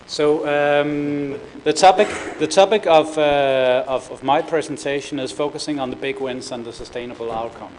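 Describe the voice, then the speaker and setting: A man's voice: on the high side, normal speed, few pauses, darkish tone, slight background noise, low-quality recording. A middle-aged man from Denmark with a Danish accent, giving a conference presentation with frequent fillers and restarts. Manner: hesitant, many fillers and repeated words. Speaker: conference speaker. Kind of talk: business presentation. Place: conference room.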